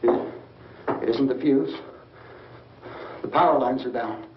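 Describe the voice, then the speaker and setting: A man's voice: medium pitch, a little slow, natural voice. Actor as tense, irritable man, echoey old film. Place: indoors, farmhouse room. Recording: echoey, dull, noisy old film sound.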